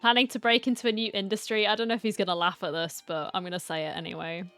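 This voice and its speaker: Female gaming commentator, average pitch, chatty and playful casual reactions over faint music. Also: flat delivery, fast, a bit bright, smooth, dry recording. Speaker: gamer reacting to a story quest.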